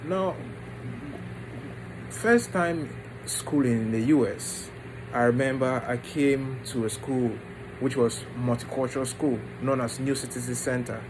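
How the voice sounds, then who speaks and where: A man talks informally about himself in a medium-pitched voice. He moves in short, halting phrases with pauses. The recording has clearly audible background noise, like a steady room hum. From Ghana and the United States. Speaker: vlogger introducing his book. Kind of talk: informal personal storytelling to camera.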